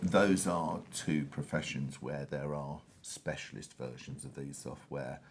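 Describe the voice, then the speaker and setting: A man with a distinctly deep voice talks as a guest on a panel. He is candid and emphatic in a conversational way, over faint background noise. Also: a little slow. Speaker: panel guest, software advocate.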